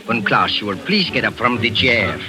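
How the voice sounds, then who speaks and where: An actor playing a cool, sardonic fixer who gives orders, in a 1940s radio drama. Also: male voice, fairly low pitch, lively intonation, moderate pace, loud music behind the voice, lightly acted. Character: smooth sly fixer, middle-aged man, in charge.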